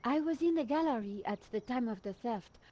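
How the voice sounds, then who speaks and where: A woman's voice: a little high, lively intonation, a little fast, mellow, dull audio. A woman playing a determined witness who speaks up to the police in a video game. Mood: insistent.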